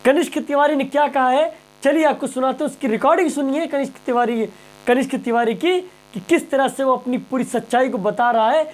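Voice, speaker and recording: A man's voice: very high-pitched, brisk. A man presenting impassioned news commentary straight to viewers, emphatic and rhetorical, repeating phrases and questions.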